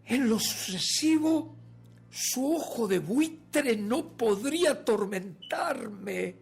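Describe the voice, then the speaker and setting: A Uruguayan actor playing a nervous, obsessive murderer who insists he is sane, in a theatrical, drawn-out radio drama reading that swings between hushed and forceful. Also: on the high side, big pitch swings, vocal fry, breathy edge, full character voice. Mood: triumphant. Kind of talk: dramatic first-person horror story reading, radio theatre acting.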